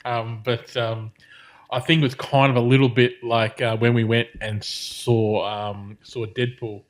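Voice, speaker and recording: A man's voice: mid-range voice, level tone, measured pace. A man co-hosting a podcast, chatting casually about films with lots of fillers and restarts. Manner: rambling, many fillers and restarts. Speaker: podcast co-host. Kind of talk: casual film discussion.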